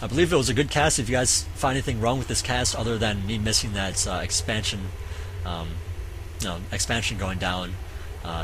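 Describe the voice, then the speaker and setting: A man casually commentating a strategy game match, halting with many fillers, his voice going hoarse. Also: lowish voice, background noise. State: voice getting hoarse. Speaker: game caster.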